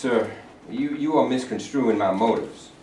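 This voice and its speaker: A man playing a pompous, scheming middle-aged governor in a staged reading, with a smooth, condescending manner. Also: mid-range voice, a little slow, dark tone, slight background noise, lightly acted. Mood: smug. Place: performance hall. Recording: roomy hall from a distant mic.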